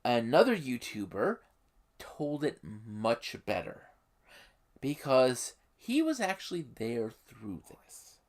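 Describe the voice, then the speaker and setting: Youtube host explaining game lore, talks slow w lots of pauses, really up and down and emphatic. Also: mid-range voice, wildly swooping pitch. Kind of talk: explanatory commentary on a game setting.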